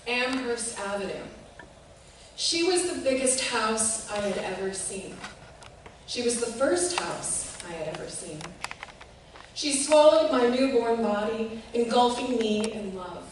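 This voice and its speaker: A woman giving an expressive, measured reading of a literary piece, recorded in a reverberant room from a distance. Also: a little high. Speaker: reader of a short literary piece. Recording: echoey room, distant mic, faint noise.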